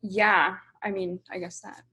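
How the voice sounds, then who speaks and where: A woman in her thirties who grew up in Hong Kong talks through interview answers, pausing often with ums and likes. Her voice is husky with a gravelly edge, yet bright in tone and pitched a little low. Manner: relaxed, thinks aloud with many ums and likes. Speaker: musician being interviewed.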